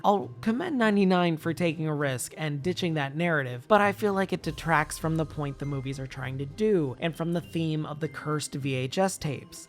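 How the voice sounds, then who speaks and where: Film reviewer, androgynous mid pitch voice, chatty measured critique, music playing behind. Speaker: movie reviewer. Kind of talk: casual film review commentary. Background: background music.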